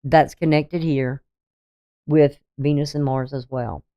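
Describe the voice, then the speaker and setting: A sixty-something astrologer talks through the day's chart in a loose, meandering way, trailing off and restarting often. The voice sits at a middling pitch. The recording sounds dull and lo-fi. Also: steady tone, takes it slowly, warm tone, clear, resonant tone. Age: 60s. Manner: rambling, hesitant, with frequent ums and restarts. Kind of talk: casual daily astrology reading over a chart.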